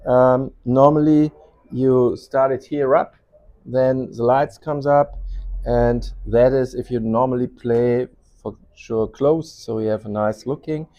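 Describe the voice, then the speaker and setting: A man's voice: mid-range voice, steady tone, dark tone, dull audio. Man presenting with a German accent. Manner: non-native English with halting, searching phrasing. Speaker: company representative presenting a product. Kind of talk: informal product demonstration.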